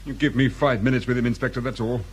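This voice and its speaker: A man's voice: mid-range voice, steady tone, quick pace, husky, close to an everyday voice. A man playing an edgy, defensive, short-tempered husband and father with a British accent in a radio crime drama, speaking abruptly. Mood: irritated, defensive.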